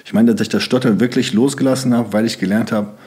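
A man's voice: mid-range voice, steady tone, fast talker, warm tone. A young man from Germany, speaking as a podcast guest in loose, rambling sentences full of fillers. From Germany (Sauerland). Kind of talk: casual interview talk.

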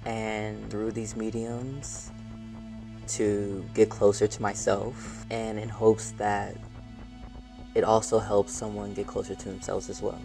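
A man's voice: on the low side, flat delivery, slow pace, gravelly, over music. A young man from St. Louis, a movement artist speaking earnestly and passionately about dance. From St. Louis, Missouri. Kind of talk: reflective interview talk.

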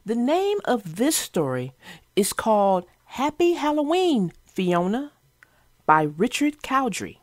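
Woman, children's storybook narrator, animated, higher voice, unhurried, dry sound. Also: no echo, faint music behind. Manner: expressive, addressing listeners directly. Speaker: children's read-aloud narrator.

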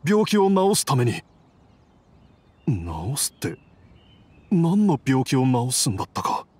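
A man playing a confused, ailing father in an anime game, husky and halting as he repeats himself. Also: medium pitch, huge pitch swings, darkish tone, full character voice. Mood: dazed, confused. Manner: halting, dazed, repetitive.

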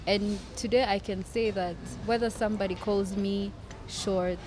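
A woman's voice: medium pitch, steady tone, unhurried, background noise. A woman in her twenties from Rwanda, talking as a podcast guest in a personal, conversational way, stressing points by repeating phrases. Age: 20s. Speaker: podcast guest, law student. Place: open-air covered café terrace. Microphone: close podcast microphone on table stand.

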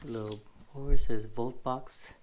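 A man's voice: medium pitch, steady tone, takes it slowly, slight background noise. A man with a stuffy, sniffly voice casually narrating a gadget unboxing at a table, rambling with many fillers. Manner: rambling, many fillers, thinking aloud while handling the box. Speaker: tech reviewer. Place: indoors at a table.

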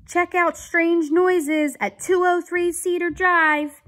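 An American woman voicing brisk police officers from a children's storybook, speaking emphatically and clearly. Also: very high voice, fairly even intonation, a bit bright, voice pushed up, full character voice. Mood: brisk, official.

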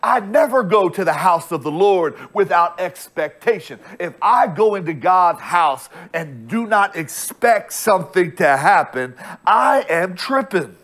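A pastor in his thirties delivers a sermon from a church stage, speaking into a small body mic. His voice sits a little high for a man, and he takes his time. He drives each point home with repeated phrases and restarts. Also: animated, a bit bright. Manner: preaches slowly with emphatic repetition and restarts. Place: church stage with bright screen backdrop.

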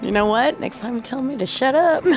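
A woman around her thirties, giving casual reactions to a video game. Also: high-pitched. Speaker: reaction show host. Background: game music.

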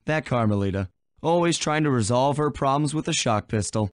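A mid-pitched male voice for a cartoonish game hero, played by a man. He is a young gentleman thief, cocky and wry, recorded dry and close. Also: animated, fairly quick, dry recording, lightly acted. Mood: breezy, confident. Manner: breezy, wry. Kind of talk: video game voice acting.

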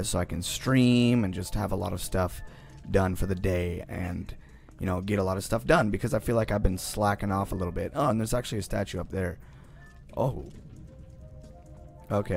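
A young man talks through his gameplay in a casual, rough, raspy morning voice that sits fairly low. He grumbles and groans whenever the game trips him up, with soft game music playing underneath. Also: fairly quick. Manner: easily frustrated, groaning and complaining at the game. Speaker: gaming channel host. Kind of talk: casual let's-play commentary.

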